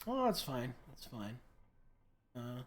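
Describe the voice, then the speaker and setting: A game streamer with a hoarse, irritated throat, chatting casually and giving blunt, earnest advice with the odd swear word. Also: male voice, mid-range voice, big pitch swings, slow. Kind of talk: casual chat while playing.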